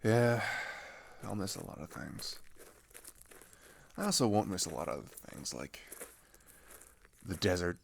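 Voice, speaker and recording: A man playing a friendly, chatty teenage migrant boy in an ASMR roleplay, talking casually and haltingly with pauses and sighs. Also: sits low, animated, vocal fry, slight background noise, heavily acted. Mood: wistful. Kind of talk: ASMR roleplay, one-sided conversational acting.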